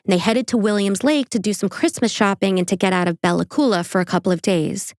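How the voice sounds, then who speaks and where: A woman's voice: medium pitch, fast talker, darkish tone, no room sound, clean studio sound. A woman with a New York accent hosting a true crime podcast, reading the case and breaking off for casual, joking asides.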